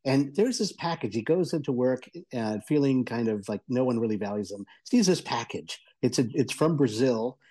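A man giving a conversational review as a radio columnist, explaining things eagerly in a halting way. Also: mid-range voice, expressive, mellow, husky. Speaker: audio entertainment columnist and reviewer.

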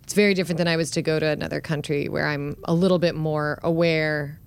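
A woman with a notably low voice chatting casually on a podcast. She leans on "like" and "you know" as she thinks aloud, in a relaxed, conversational way. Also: gravelly, smooth. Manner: chatty, lots of "like" and "you know", quick to laugh. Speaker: journalist, podcast guest.

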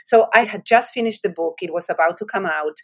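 A woman's voice: medium pitch, brisk. A woman in her forties from Argentina with a Spanish accent, an author answering interview questions in reflective, winding storytelling full of restarts.

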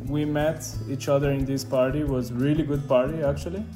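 A man in his twenties talks in English with a Brazilian accent. His voice sits at a medium pitch, and he chats casually about his own life as an interviewee. Guitar music is clearly heard behind him. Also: steady tone, brisk. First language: Portuguese. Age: 20s. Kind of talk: casual personal storytelling.

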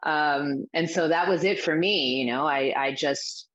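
A middle-aged woman from the Azores talking as an interview guest, reflective and halting with many restarts. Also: low-pitched, fairly bright tone. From Azores, Portugal. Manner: reflective, with frequent restarts and fillers. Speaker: guest, spiritual teacher and coach. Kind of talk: interview answers. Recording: dull lo-fi sound.

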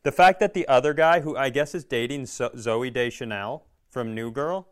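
A man's voice: mid-range voice, moderate pace. A man co-hosting a comedy podcast, talking in casual banter and profane, exasperated rants. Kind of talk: casual comic banter and ranting.

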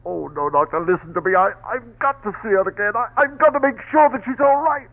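An actor playing a tormented husband who cries out in despair, in a vintage radio drama. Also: male voice, sits high, fast talker. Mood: pleading. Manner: overwrought, sobbing outbursts. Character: tormented husband, grief-stricken and frantic. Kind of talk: 1940s radio acting. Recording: tinny old radio recording.

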